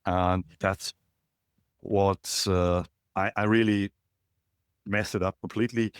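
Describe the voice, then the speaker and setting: A middle-aged man from Germany with a German accent, a podcast guest calling in remotely and telling his story in a reflective, halting way with many fillers. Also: low-pitched, fairly even intonation, clear, resonant tone, no echo, clean studio sound. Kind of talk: casual interview, storytelling. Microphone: remote call.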